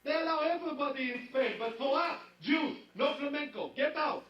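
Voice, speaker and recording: Sarcastic guy ranting, super high voice, echoey room. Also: a little fast, recorded from a distance, highs cut off. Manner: indignant, sarcastic rant. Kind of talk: comedy sketch clip.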